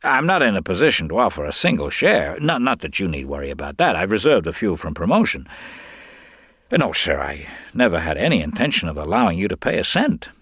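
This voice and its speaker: An actor playing a smooth con man who feigns sincerity in folksy, earnest, confiding talk. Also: deep voice, big pitch swings, a little fast, fairly bright tone, no echo, studio quality, exaggerated voice. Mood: earnest, frank.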